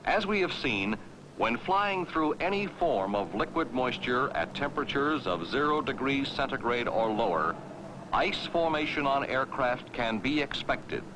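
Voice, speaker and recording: An American man narrating a 1960s military training film in a formal, authoritative, clearly enunciated way, on a vintage film soundtrack. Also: mid-range voice, animated, measured pace, noisy background.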